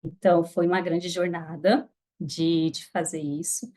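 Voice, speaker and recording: A woman's voice: fairly low pitch, flat delivery, measured pace, darkish tone. Earnest Brazilian woman podcast guest. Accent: Brazilian Portuguese. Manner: reflective, earnest, with hesitant restarts. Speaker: guest, affiliate marketer. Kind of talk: casual podcast interview.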